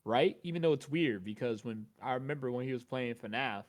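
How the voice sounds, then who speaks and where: A man's voice: mid-range voice, brisk. A man co-hosting a casual commentary chat, rambling through long points full of fillers and asides. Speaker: co-host.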